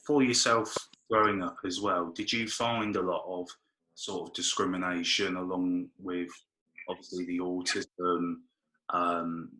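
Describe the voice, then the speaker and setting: A young man in his twenties hosts a casual interview. His voice is low, but it has a bright edge. He asks friendly questions and often restarts them. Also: poor audio. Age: 20s. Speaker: interviewer host.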